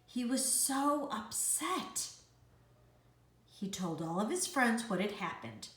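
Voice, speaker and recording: A woman reads a children's storybook at a slow, unhurried pace. Her intonation rises and falls widely, and the room gives her voice a clear echo. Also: on the high side, big pitch swings, a bit bright. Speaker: storybook reader. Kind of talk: expressive scripted children's storytelling. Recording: roomy, echoey indoor sound.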